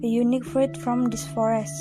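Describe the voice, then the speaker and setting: A woman's voice: fairly high pitch, little pitch movement, brisk, lightly acted. A woman with an Indonesian accent playing a humble, loyal aide to a king in an amateur student radio drama, formal and deferential. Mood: attentive. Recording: loud guitar music behind.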